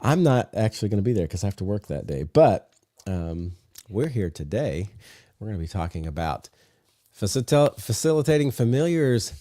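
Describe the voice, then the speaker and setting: Congested, low-voiced male podcast host. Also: moderate pace, dry recording, studio quality. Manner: relaxed, many filler "uh"s. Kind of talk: casual podcast chat and reading aloud.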